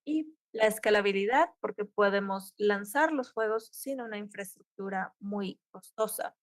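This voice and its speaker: A woman's voice: medium pitch, dry recording. A woman interpreting a conference tech talk live, keeping pace with occasional cut-off restarts. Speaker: simultaneous interpreter.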